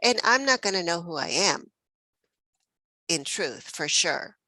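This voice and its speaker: A woman's voice: lowish voice, animated, a little slow, pushed and bright, no echo. A woman from Mexico hosting a spiritual text study group, thinking aloud with many fillers and easy laughs. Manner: reflective, many fillers and restarts, laughs often.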